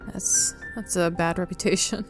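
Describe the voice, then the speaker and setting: A woman with a somewhat low voice comments casually as she plays a game. She muses aloud and hesitates as she thinks. Soft music runs in the background. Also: fairly even intonation, moderate pace. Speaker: gaming commentator.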